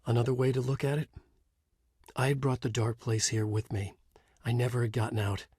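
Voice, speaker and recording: An actor playing a tormented thriller writer trapped in a horror story in a video game, with a grave, introspective, intense delivery and a husky voice. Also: male voice, mid-range voice, level tone, a little fast, darkish tone, no echo, clean studio sound, natural voice. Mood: weary, resigned. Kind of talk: brooding dramatic game dialogue and noir monologue.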